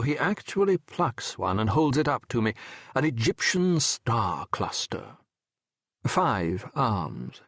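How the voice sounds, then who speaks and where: A man narrating an audiobook as a wry, reflective French medical professor telling his memoir in a measured, erudite, wistful way. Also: mid-range voice, no echo, studio quality. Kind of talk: scripted first-person literary narration.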